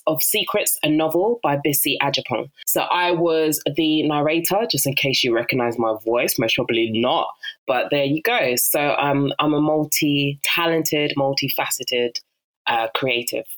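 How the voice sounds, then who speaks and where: A young adult woman from Ghana, a guest on a podcast, talking in a casual, animated way with frequent laughs and fillers. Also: deep voice, moderate pace, low-quality recording. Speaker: podcast guest, actress and narrator. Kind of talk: casual interview chat.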